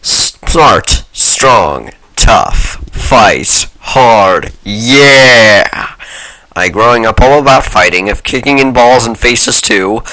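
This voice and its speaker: Man reading as a boastful, halting fight-obsessed blogger. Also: mid-range voice, expressive, pushed and bright, low-quality recording. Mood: boastful, earnest. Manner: broken phrasing, emphatic, halting.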